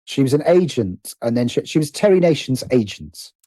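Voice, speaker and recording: A man's voice: mid-range voice, big pitch swings, normal speed, mellow, no room sound, poor audio. A middle-aged British man speaking reflectively as an interview guest, often stopping to rephrase himself. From Britain. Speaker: guest, writer and producer.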